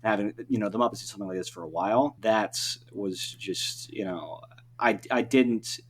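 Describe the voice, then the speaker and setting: A man's voice: on the low side, moderate pace. A man talking casually as an interview guest, rambling and breaking off his own sentences with frequent filler phrases. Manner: rambling, self-interrupting, peppered with "you know" and "like". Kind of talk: casual podcast interview chat. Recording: clear background noise with hum.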